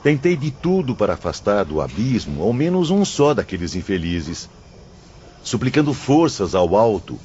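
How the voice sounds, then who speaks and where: Man acting a deep-voiced, tormented ex-gambler spirit. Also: very animated, moderate pace, a little mellow. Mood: pleading. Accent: Brazilian. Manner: confessional, dramatic, formal diction. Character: remorseful former gambler spirit, tormented and pleading. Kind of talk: dramatized audiobook acting. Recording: dull audio, faint ambient noise.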